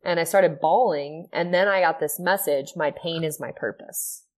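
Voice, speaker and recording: Low-voiced earnest woman sharing her story. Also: normal speed. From Ohio. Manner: earnest, soft-spoken, many "like" and "you know" fillers. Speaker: podcast guest, yoga and sound healing teacher. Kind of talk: reflective personal storytelling.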